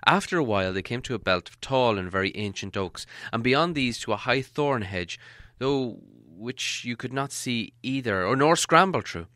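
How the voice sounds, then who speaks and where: A man's voice: mid-range voice, expressive, normal speed, sharp tone, no echo, studio quality. A man reading a fantasy storybook aloud as scripted audiobook narration. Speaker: audiobook reader.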